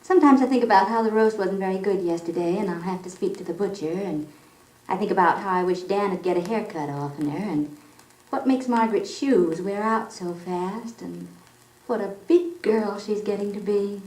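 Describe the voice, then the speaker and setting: A gentle mother in a vintage radio soap, acted by a woman, with a soft-toned mellow voice. She is musing and affectionate, heard in a roomy old record. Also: mid-range voice, moderate pace, natural voice. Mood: tender, musing. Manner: tender, musing, coaxing. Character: gentle, reflective, affectionate mother of a young girl.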